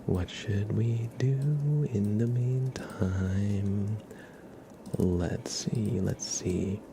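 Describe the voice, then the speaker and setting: A man hosting an ASMR livestream, chatting casually to viewers in a soft, mostly whispered, gentle and unhurried murmur. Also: lowish voice, steady tone, vocal fry, background noise.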